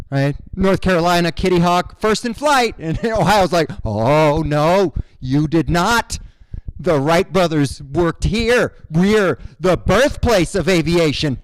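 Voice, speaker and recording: A stand-up comedian from Cuba by way of Miami, his voice high and very lively, swinging up and down as he tells his jokes. He breaks into loud shouted characters along the way. The tone is smooth and clear, recorded close and dry with no room echo. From Cuba, raised in Miami. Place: basement room at a college show.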